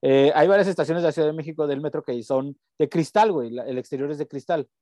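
A man's voice: a little high, quick pace, mellow, no echo, studio quality. A young man with a Mexican accent, co-hosting a podcast in slangy, rambling casual chat. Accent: Mexican Spanish. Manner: slangy, rambling, breaks into bits of song. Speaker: podcast co-host.

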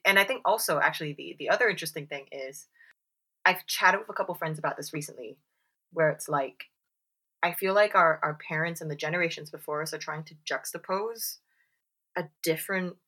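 A woman's voice: low-pitched, pushed and bright. A woman chatting casually on a podcast, full of fillers and "like"s, who acts out the voices she quotes. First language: English. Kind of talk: casual chat.

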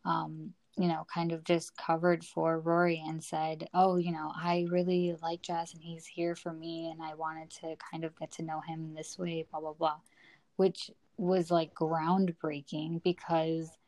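Low-voiced young woman, evenly pitched, close dry sound. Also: flat delivery, a little slow, a little mellow, dry recording, low-quality recording. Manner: hesitant, conversational, frequent "like" and "you know". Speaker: podcast co-host. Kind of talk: casual TV recap discussion.

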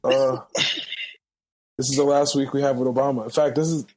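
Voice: medium pitch, flat delivery, fairly quick, mellow, low-quality recording. A podcast co-host in casual banter, telling stories in slangy language and acting out what people said.

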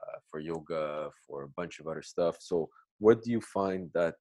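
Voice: low voice, moderate pace, mellow, dry recording, studio quality. A podcast host who interviews a guest, affirming often and repeating words.

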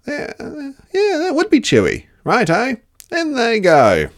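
A boastful storybook dingo played by a narrator, pitched well above an everyday voice. He is gleeful and excitable, swooping through his exclamations and breaking into singsong chants. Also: unhurried. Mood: eager, gleeful.

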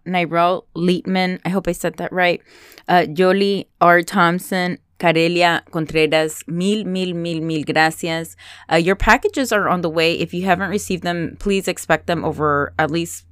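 A woman's voice: on the low side, clear, resonant tone, no echo, studio quality. A woman hosting her own podcast alone, talking off the cuff with lots of fillers and restarts, slipping in Spanish phrases, and sounding stuffy from a lingering cold. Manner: chatty, unscripted, many fillers and restarts, switches into Spanish phrases. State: stuffy from a cold, slight cough. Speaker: podcast host. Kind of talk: solo podcast monologue.

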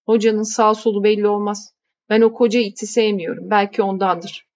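A woman's voice: medium pitch, little pitch movement, moderate pace, darkish tone, highs cut off, lightly acted. A woman reading as a curt, grumbling housekeeper. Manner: curt, scolding.

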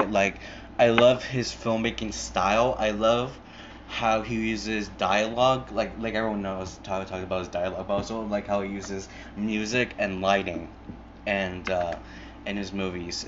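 A man's voice: fairly low pitch, a bit bright, lo-fi sound, slight background noise. A young man hosting his own podcast alone, rambling casually with lots of fillers, restarts and swearing, recorded on an earbud mic. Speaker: podcast host. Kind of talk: casual solo podcast chat.

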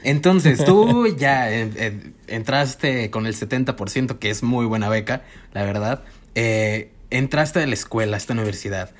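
A man's voice: mid-range voice, moderate pace. A man hosting a casual podcast, steering the guest with friendly prompting questions. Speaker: podcast host.

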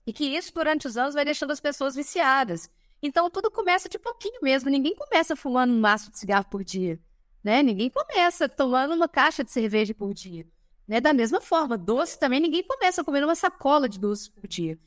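A Brazilian woman in her thirties, a guest chatting casually on a podcast, lively and talkative, often tagging her sentences with a questioning "né?". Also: high voice, a little fast, dry recording, studio quality. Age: 30s. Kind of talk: casual chat.

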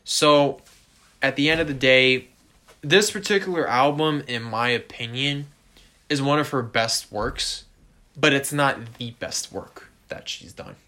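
A man co-hosting a casual music podcast, talking to listeners directly in an animated way and leaning on stock phrases. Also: mid-range voice, a little slow. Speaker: podcast co-host.

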